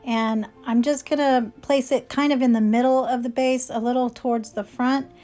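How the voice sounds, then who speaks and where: Chatty woman, slightly hoarse. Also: fairly high pitch, darkish tone. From California. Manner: chatty, step-by-step explaining. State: slightly hoarse, losing her voice. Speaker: DIY craft channel host. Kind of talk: casual tutorial voice-over.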